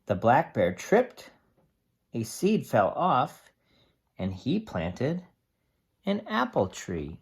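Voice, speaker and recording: A teacher reads a picture book aloud to young children in a husky voice. The delivery is slow and deliberate, with lively swings in pitch and simple explanatory asides along the way.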